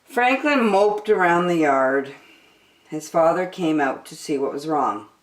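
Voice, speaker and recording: A woman's voice: low-pitched, lively intonation, a little slow, reverberant, poor audio. A woman reading a storybook expressively as a storyteller for children.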